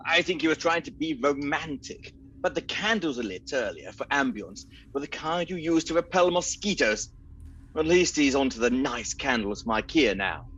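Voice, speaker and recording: A man playing a wry, indignant captive hero in a comedic radio play, with a husky voice and dry delivery. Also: mid-range voice, expressive, moderate pace, a bit bright, highs cut off, a little hiss or hum, natural voice.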